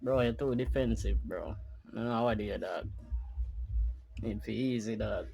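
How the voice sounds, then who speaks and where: A man's voice: on the low side, normal speed, gravelly, mellow, faint music behind. A man reacting to a video, blunt and mocking, cursing freely. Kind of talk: casual reaction commentary.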